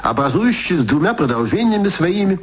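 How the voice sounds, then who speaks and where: An older man recalling a walk through a city in unhurried, lyrical prose. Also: sits high, very animated, husky. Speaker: speaker in an archive radio recording. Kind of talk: descriptive literary recollection.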